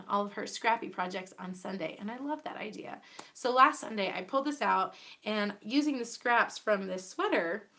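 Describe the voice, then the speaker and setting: A young woman hosting a knitting podcast in casual show-and-tell chat, her voice raspy from a cold, chatty and bubbly with many fillers. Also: mid-range voice, a bit bright.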